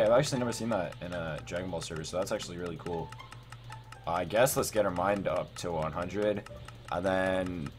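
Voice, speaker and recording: A teenage male with a Canadian accent gives casual, rambling gameplay commentary, repeating himself for emphasis. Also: low voice. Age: teens. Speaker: gaming YouTuber.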